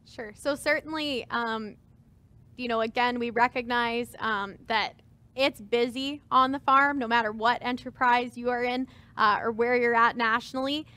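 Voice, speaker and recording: Bright-voiced woman giving farm advice. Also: on the high side, steady tone, moderate pace. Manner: earnest, advice-giving, many fillers. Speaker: farm transition advisor. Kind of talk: webinar panel answer. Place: stage with dark curtains.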